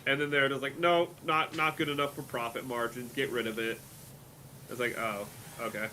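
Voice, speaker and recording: Bright-voiced man chatting animatedly. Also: medium pitch, normal speed, slight background noise, poor audio. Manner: animated, rambling with many fillers. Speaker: streamer. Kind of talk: casual chat while gaming.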